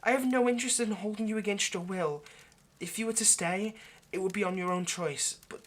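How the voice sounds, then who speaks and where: A man's voice: high voice, brisk, lightly acted. A man playing an earnest, lovestruck vampire in an intimate roleplay monologue, speaking hesitantly and persuasively. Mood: hesitant, reassuring. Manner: hesitant, stammering, gently persuasive. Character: earnest, lovestruck male vampire coaxing a partner.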